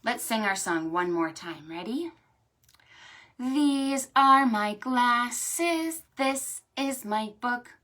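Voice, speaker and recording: A woman hosting a storytime for preschoolers, talking to small children in an animated, sing-song way. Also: fairly high pitch, very slow. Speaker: children's librarian and storytime host. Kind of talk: preschool storytime with stretches and songs.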